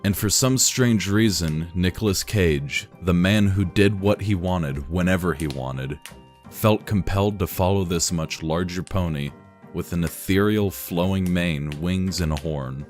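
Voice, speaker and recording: A man reading a fan story aloud in a dry, deadpan narrating manner. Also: low voice, measured pace, faint music behind. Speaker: reader.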